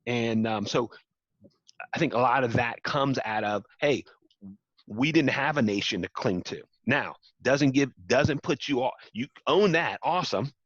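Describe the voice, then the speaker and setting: A man's voice: medium pitch, lively intonation, a little slow, a bit bright, dry recording, muffled recording. A man speaking earnestly as an interview guest, building to emphatic repeated points. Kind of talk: reflective interview talk.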